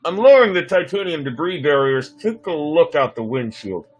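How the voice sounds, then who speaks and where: A man's voice: a little high, animated, normal speed, husky, dull audio. A man voicing a dutiful, anxious spaceship pilot in a sci-fi story reading, formal and earnest. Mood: alert. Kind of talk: dramatic reading of sci-fi script.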